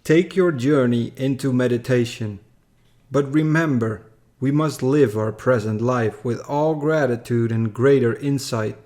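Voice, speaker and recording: A man narrates a rhyming tale at a slow pace. His delivery is solemn and measured, with a mid-range pitch. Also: a little mellow. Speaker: story reader.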